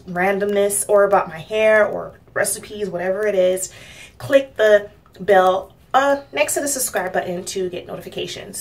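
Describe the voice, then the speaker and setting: A young woman from Miami chats to her viewers in a loose, rambling way, full of ums and little laughs. Her voice sits in a middle range and sounds a little distant from the microphone. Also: medium pitch. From Miami, United States. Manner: chatty, rambling, with frequent fillers and soft laughs. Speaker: vlogger. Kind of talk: casual talk to camera.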